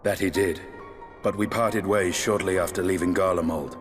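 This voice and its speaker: An actor playing an aloof, gruff lancer in a fantasy game cutscene, speaking tersely in formal, archaic phrasing. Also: male voice, sits low, fairly even intonation, normal speed, natural voice. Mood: grave, matter-of-fact. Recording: long reverb, music behind.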